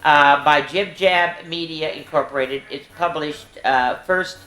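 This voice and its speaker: A woman's voice: low-pitched, unhurried. Echoey-voiced woman hosting children's story time. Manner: chatty, animated asides to young listeners. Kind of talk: reading and singing picture books to children. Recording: echoey room.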